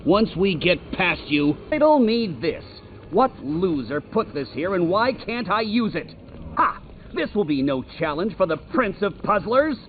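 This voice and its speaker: Game trickster villain, a boastful riddle fanatic: highish, lively sneer, muffled capture with faint noise. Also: male voice, unhurried. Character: cocky, mocking male riddle-loving supervillain trickster. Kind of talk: video game villain voice acting.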